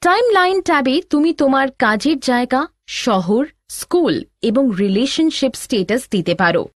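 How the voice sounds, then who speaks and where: Woman as helpful big sis in a learning cartoon, scripted tutorial, high lilting voice, dry sound. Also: lively intonation, normal speed, dry recording. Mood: instructive. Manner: instructive, reassuring. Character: helpful elder sister teaching in an educational cartoon. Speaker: tutorial narrator and teacher. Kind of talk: scripted instructional narration.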